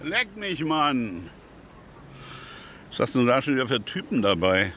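An actor playing a grumpy, stubborn, self-righteous local fixer in a radio crime drama, with a gruff, grumbling manner. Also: male voice, mid-range voice, sing-song, measured pace, fairly bright tone, slight background noise. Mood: surly. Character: grumpy xenophobic German local man, stubborn small-time fixer.

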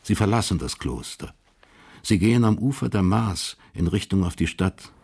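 An actor as the detached narrator of a historical crime radio drama, measured and factual. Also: male voice, low-pitched, lively intonation, vocal fry, a little mellow, slightly rough, exaggerated voice.

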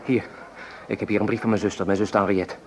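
An actor playing a young, hot-headed French revolutionary in a radio play, with an impassioned, scornful way of speaking. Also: male voice, lowish voice, flat delivery, fast, darkish tone, lightly acted. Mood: earnest, insistent. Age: young adult. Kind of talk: Dutch radio play acting. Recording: noisy old radio play recording.

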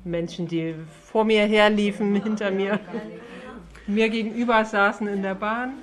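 A woman's voice: mid-range voice, fairly even intonation, unhurried. A woman artist answering questions casually in an interview recorded in a shop. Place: small shop or gallery indoors. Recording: busy background chatter, recorded on location.

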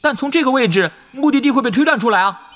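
High, rapid-fire chatterbox young gamer. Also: male voice, lively intonation, fairly bright tone. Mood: indignant. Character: cocky talkative young male gamer, excitable and nagging. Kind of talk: Chinese audio drama acting.

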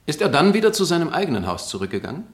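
Fast-talking, precise male prosecutor, formal radio courtroom drama, echoing room. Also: on the high side, very animated. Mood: brisk questioning.

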